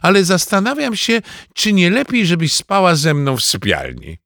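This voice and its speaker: A man's voice: sits high, wildly swooping pitch, moderate pace, vocal fry, fairly bright tone, no room sound, clean studio sound, voice pushed up, heavily acted. A man voicing an exasperated, emphatic mother of a small boy in a storybook reading.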